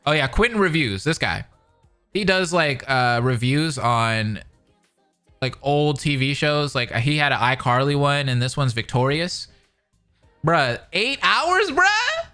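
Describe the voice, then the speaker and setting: A man's voice: medium pitch, unhurried, a bit bright, smooth, faint music behind. An American man chatting casually with livestream viewers in a slangy, laid-back, conversational way. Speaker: streamer, reaction commentator. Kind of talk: casual livestream chat with viewers, reading out comments.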